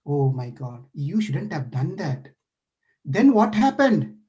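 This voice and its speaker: A man's voice: on the high side, big pitch swings, slow pace, dark tone, dull audio. A man voicing a playful, caring male deity who eagerly questions a frightened sage in a devotional story. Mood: curious, eager. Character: playful caring divine lord, male, curious.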